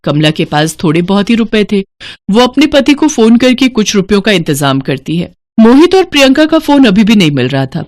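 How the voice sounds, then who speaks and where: A woman's voice: medium pitch, sing-song, normal speed, a little mellow. A woman storyteller reading scripted narration for a moral family tale.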